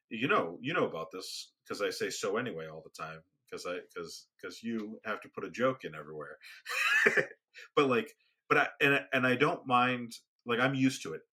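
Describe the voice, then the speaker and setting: A soft-spoken man co-hosting a casual podcast, rambling with frequent restarts. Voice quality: booming when raised. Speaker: podcast co-host. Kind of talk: casual conversation. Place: home studio, remote recording.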